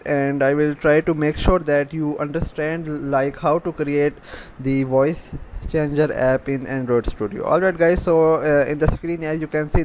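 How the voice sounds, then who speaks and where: A man with a slightly high voice narrates a software tutorial off the cuff. He explains step by step in a halting way, repeating himself. There is faint noise behind his voice. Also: rough recording. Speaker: programming tutorial host.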